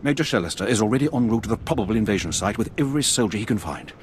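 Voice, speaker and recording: An actor playing a stern, indignant older military veteran in a video game. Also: male voice, on the low side, animated, very fast, slight background noise, natural voice. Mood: grave. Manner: stern, grumbling, earnest. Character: indignant veteran male Imperial officer, older, disgruntled, grave. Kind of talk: video game cutscene acting.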